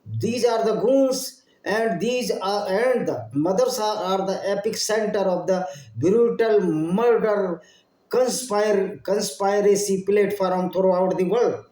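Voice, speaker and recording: Indian guy ranting to his channel in broken english, kinda high mellow voice, echoey room. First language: Hindi. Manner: halting non-native English, repeats and rephrases phrases. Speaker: channel host. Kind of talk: impassioned solo monologue to viewers.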